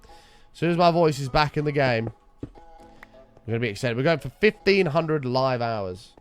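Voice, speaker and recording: A man streaming a game, chatting casually and wryly with his viewers while his voice is hoarse and sore. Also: medium pitch, animated, measured pace, faint music behind. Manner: bantering, wry, teasing chat members.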